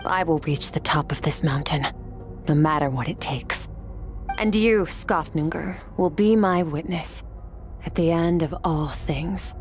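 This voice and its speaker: A woman voicing an ancient, calm swordswoman who talks in a measured, solemn, philosophical way, in a video game. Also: low voice, soft background music. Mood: resolute.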